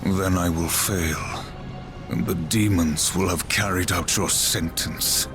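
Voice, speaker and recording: Low gravelly doom horseman, proud, grim, slow n terse, video game w music. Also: fairly even intonation. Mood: grim resolve. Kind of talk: fantasy video game cinematic acting.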